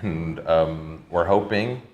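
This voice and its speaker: A man's voice: low voice, slow, darkish tone, lo-fi sound. A man hosting a live stream, chatty and reading viewer comments aloud. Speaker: host. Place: indoor co-working space.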